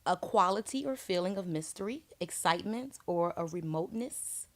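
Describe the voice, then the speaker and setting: A woman's voice: fairly low pitch, lively intonation, moderate pace. A woman co-hosting a casual radio talk show, thinking aloud with hesitations and fillers. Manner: thoughtful, hesitant, many fillers. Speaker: radio co-host.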